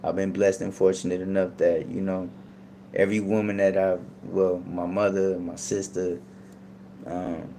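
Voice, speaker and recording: A man's voice: sits low, little pitch movement, a little slow, mellow, slight background noise. A man talking casually as a guest, laid-back and rambling with lots of fillers. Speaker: podcast guest. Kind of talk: casual storytelling.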